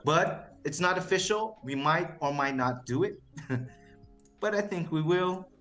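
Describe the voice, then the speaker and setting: A man whose first language is not English, speaking as a guest on a stream with slight Texan traces in his accent, often halting, repeating words and laughing. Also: fairly high pitch, lively intonation, fairly bright tone, soft background music. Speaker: crypto project CEO, guest. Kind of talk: casual interview chat.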